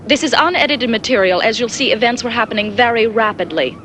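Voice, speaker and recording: Female field reporter played by an actress, 1980s bulletin drama: composed, formal, higher register, faint noise behind. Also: animated, natural voice. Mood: composed, serious. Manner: measured, formal delivery. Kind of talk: 1980s TV news bulletin acting.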